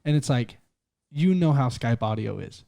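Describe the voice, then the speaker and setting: A man's voice: medium pitch, animated, normal speed, creaky, mellow, no echo, clean studio sound. A young man from Utah, a singer-songwriter guest, chatting loosely and swearing as he rambles through a story. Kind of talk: casual podcast chat.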